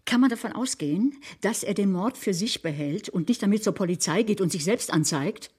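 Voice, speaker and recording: A woman's voice: medium pitch, expressive, brisk, darkish tone, slightly rough, no echo. An actress playing a nervy, sardonic woman who schemes and narrates her own crime in a German radio drama, with dry, wry delivery. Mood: panicked. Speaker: narrator and lead character.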